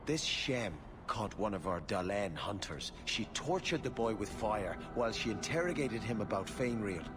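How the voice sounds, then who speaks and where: Male actor: defiant elf clansman for a game, scornful and stern, lowish voice with animated pitch. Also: normal speed, noisy background, lightly acted. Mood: defiant, menacing. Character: proud, defiant male elven clan warrior, stern. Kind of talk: dramatic game dialogue. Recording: music behind the voice.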